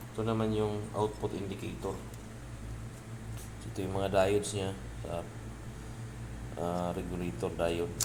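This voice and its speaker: A Filipino man giving a casual hands-on electronics tutorial, informally pointing out parts as he goes. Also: on the low side. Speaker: electronics repair presenter. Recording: clearly noisy home-made video recording.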